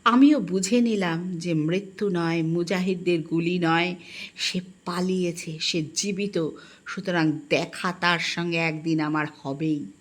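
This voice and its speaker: A woman reads a first-person literary story in an expressive, melancholic and dramatic manner. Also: fairly low pitch, a little slow. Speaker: audiobook narrator.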